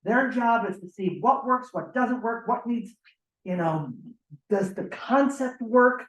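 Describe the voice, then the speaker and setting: Animated man directing actors, echoey room. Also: very high voice, slow. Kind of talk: informal instructions to a cast.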